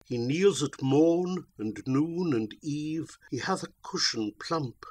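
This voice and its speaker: A man's voice: fairly high pitch, lively intonation, slow, a little mellow, slightly rough. A man reading narrative verse aloud as a dramatic recitation. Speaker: poetry reader.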